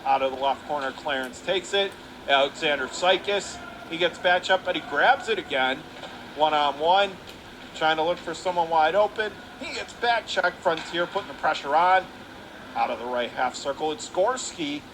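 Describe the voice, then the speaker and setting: A man calling live hockey play-by-play on radio in clipped running phrases with excited calls. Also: on the high side, normal speed. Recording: noisy live rink recording.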